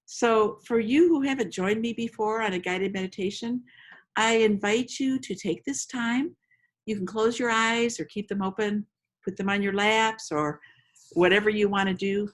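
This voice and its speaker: Female meditation host, medium pitch, slow inviting delivery, gentle storytelling with generous pauses. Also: fairly even intonation. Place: outdoors among roses.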